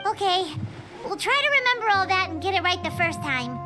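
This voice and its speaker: A woman voicing a tiny, cheerful, scatterbrained fairy companion in an anime video game, with a squeaky childlike voice. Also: very animated, fairly quick. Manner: exclamatory, refers to herself in third person. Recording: game music behind the voice.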